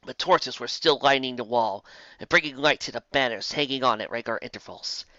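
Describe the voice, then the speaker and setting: A man narrates an adventure story in a dramatic scripted style. His voice is mid-pitched with a bright, tense edge. The recording is very dry and slightly dull. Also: a little fast, dry recording.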